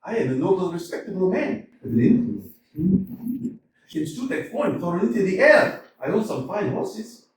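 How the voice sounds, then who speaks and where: A hot-tempered landowner, read by a man on a community stage who lurches between sulky mutters and bellowed declarations. His voice sits high and leaps all over, delivered at an unhurried pace. The mic is distant and the hall rings with echo. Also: sing-song, noisy background. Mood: frantic. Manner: swings from muttering to loud outbursts. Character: blustering middle-aged male landowner, hot-tempered, lovestruck. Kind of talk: staged reading of a stage comedy. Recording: echoey hall, mic from far off.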